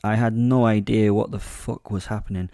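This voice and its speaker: A man narrating first-person horror fiction in a measured storytelling manner. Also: lowish voice, fairly even intonation, warm tone, no echo, studio quality. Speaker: narrator and voice actor.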